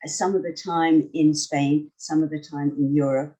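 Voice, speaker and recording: A woman's voice: low-pitched, fairly even intonation, unhurried, warm tone, rough recording. An older woman hosting a talk show, interviewing a guest in an effusive way, full of praise.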